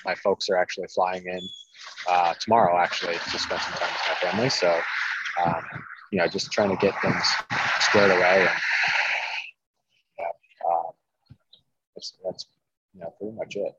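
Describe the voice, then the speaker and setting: A man's voice: deep voice, level tone, moderate pace, pauses often, highs cut off, heavy background noise. A man from central Iowa, a private chef and podcast guest, telling his story in an easygoing, casual way. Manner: easygoing storyteller, many ums and likes. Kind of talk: casual interview chat.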